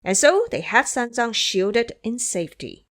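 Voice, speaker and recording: A woman's voice: a little high, very animated, a little slow, a bit bright. A woman giving dramatic scripted storytelling narration for an audio drama.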